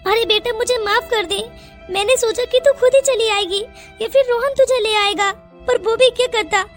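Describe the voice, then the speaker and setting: A woman's voice: extremely high pitch, moderate pace. A woman voicing a concerned, coaxing middle-aged mother-in-law in a melodramatic Hindi cartoon story. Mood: concerned, doubtful. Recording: music under the voice.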